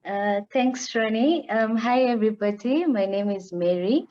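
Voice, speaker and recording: A woman's voice: a little high, measured pace. Kenyan woman, muffled audio. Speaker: community social worker.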